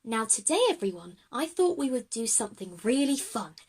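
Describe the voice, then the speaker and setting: A woman's voice: on the high side, very animated, normal speed, darkish tone, slightly rough, heavily acted. A woman voicing a friendly, enthusiastic female textiles teacher in an audio drama for children.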